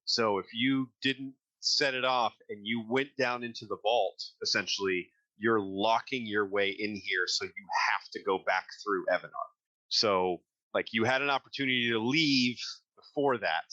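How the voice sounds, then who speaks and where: A man's voice: lowish voice, normal speed, pushed and bright, no echo, muffled recording. A man acting as game master, narrating a tabletop fantasy game in a casual, improvised way.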